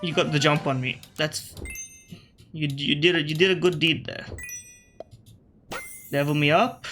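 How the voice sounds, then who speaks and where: A man with an Australian accent, shifted by British media, talking over his gameplay in a casual way. His voice sits a bit high and sounds bright and forceful. He thinks out loud, catches himself mid-sentence and throws dry remarks at the players he faces. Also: steady tone, measured pace, soft background music. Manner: thinks aloud, self-correcting, wry asides to opponents. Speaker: gaming video creator.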